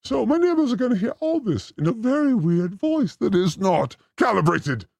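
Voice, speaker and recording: A man's voice: high voice, sing-song, moderate pace, creaky, a little mellow, no echo. A man hosting a livestream with a rambling, theatrical comic monologue, exclamatory and given to swearing. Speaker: host of a livestream show.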